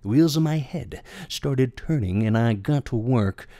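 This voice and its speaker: A man's voice: mid-range voice, lively intonation, unhurried, warm tone, dry recording. A man narrating a scripted story for his videos in a theatrical, wordy storytelling manner. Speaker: video creator and voice actor.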